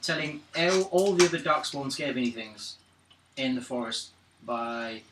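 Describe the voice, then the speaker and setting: A man's voice: medium pitch, unhurried. A British man chatting casually and playfully during a tabletop roleplaying game. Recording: echoey room, distant mic, noisy, clicks.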